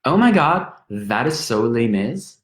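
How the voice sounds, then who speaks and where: A woman's voice: extremely low pitch, very animated, unhurried, echoey room, full character voice. A man voicing an over-eager young woman who chatters breathlessly in a pub. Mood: bubbly.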